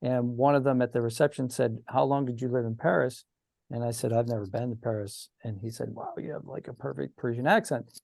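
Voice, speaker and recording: A man's voice: medium pitch, steady tone, a little fast, creaky, a little mellow, no room sound. A man hosting a casual three-person podcast conversation, friendly and a little hesitant. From Pittsburgh, Pennsylvania. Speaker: podcast host.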